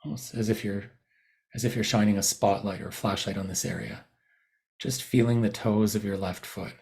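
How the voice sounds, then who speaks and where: A man guiding a body scan meditation in a soothing, unhurried manner, leaving long pauses between short phrases. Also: on the low side, steady tone, darkish tone. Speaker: meditation guide. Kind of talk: guided body scan meditation, unscripted instruction.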